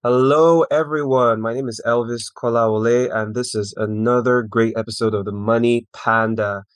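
A man's voice: medium pitch, fairly even intonation, normal speed, no echo. A young man hosting a podcast over a video call, friendly and rambling with fillers. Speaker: podcast host. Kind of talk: casual interview. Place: small room indoors, video call. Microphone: headset.

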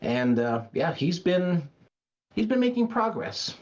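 A man, a guest wrestler, speaking slowly and with self-assurance on a podcast video call. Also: sits high, very animated, darkish tone, muffled recording.

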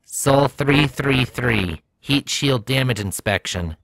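A man's voice: mid-range voice, expressive, slow pace, vocal fry, fairly bright tone, no echo, voice pushed up, full character voice, low-quality recording. A man voicing an earnest robot space rover that speaks in clipped, broken, machine-like phrases. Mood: matter-of-fact.

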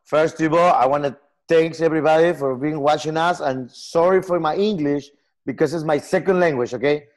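A man in his forties from Peru, speaking English with a Peruvian Spanish accent, earnest and halting in a casual video-call interview. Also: a little high. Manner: earnest, gestures a lot, halting non-native phrasing. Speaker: software company founder. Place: home room with lamp and armchair.

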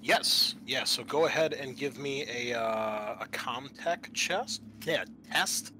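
Man, game master, mid pitch, hesitant, tabletop narration and rules, noisy amateur recording. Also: bright, forceful tone. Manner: hesitant, halting, thinking aloud. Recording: clear background noise, amateur podcast.

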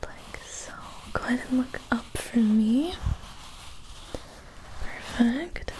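Slow whispering young woman, ASMR. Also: a little high, expressive, rough recording. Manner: slow soft whisper, gentle instructions to the listener. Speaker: ASMR creator. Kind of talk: ASMR roleplay, spa attendant. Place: indoors, small room with a floral backdrop.

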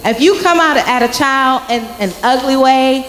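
A young woman giving a youth message at church, telling a story with lively acted-out voices into a handheld mic. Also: sits high, moderate pace. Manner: animated storytelling, acting out quoted voices. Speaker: youth speaker. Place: large church sanctuary with congregation. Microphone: handheld microphone. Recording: echoey sanctuary from a far camera.

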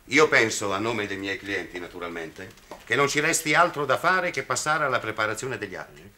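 A man, a skeptical lawyer in an old television drama. His very sharp, forceful voice is formal and deliberate, with animated intonation. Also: on the low side. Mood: stern, formal. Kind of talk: 1960s Italian television drama acting.

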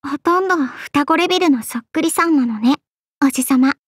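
A woman's voice: high-pitched, a little fast, dry recording, studio quality, lightly acted. An actress playing a poised little girl in a game, with a ladylike, playfully teasing manner. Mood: amused, teasing. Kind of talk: Japanese game character dialogue.

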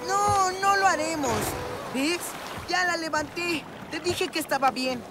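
A woman's voice: very high-pitched, a little slow. A woman voicing a stubborn, bossy young boy in a children's cartoon dub, brash and dismissive, with a Latin American Spanish accent.

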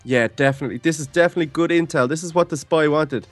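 A man's voice: fairly high pitch, fast, no echo, soft background music. A man giving playful improvised roleplay commentary in a gaming video, narrating the action and repeating short commands.

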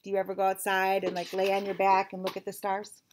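A woman's voice: medium pitch, monotone, fast, a little hiss or hum. A middle-aged woman hosting a picture-book read-aloud, chatting to young listeners. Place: small indoor room with shelves.

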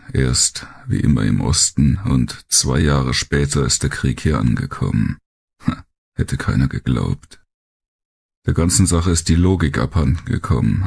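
A man voicing a blunt, cynical, world-weary male survivor of war in an audiobook, gravelly and coarse in his talk. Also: a bass voice, full character voice. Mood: resigned. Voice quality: gravelly, husky. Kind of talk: audiobook character monologue.

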